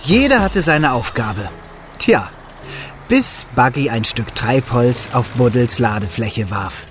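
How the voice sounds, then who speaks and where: A man's voice: mid-range voice, lively intonation, takes it slowly. A man playing a friendly builder who narrates his day in a children's audio drama. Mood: storytelling. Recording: music behind the voice.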